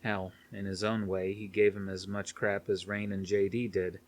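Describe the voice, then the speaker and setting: A man's voice: fairly low pitch, level tone, moderate pace. A man reading a thriller novel aloud as scripted audiobook narration. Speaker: audiobook reader.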